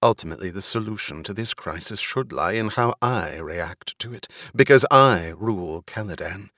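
Stern noblewoman ruler, played by a male narrator: authoritative, formal, resolute, deliberate, in a dry audiobook recording. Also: on the low side, animated, fairly bright tone, no echo. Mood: firm, resolute.

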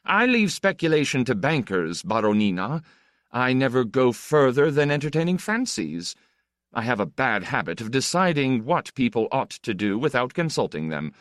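A man's voice: medium pitch, sing-song, normal speed, a bit bright, dry recording, studio quality, pitched above the performer's own voice. A man voicing a flippant, self-assured count with an urbane, ironic, teasing manner in an audiobook. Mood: wry. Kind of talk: audiobook character dialogue.

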